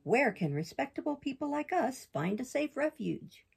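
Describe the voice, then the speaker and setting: A woman's voice: high-pitched, big pitch swings, moderate pace, creaky, a little mellow, husky, pitched above the performer's own voice, full character voice. Woman doing a sly, wheedling male fox. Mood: theatrical.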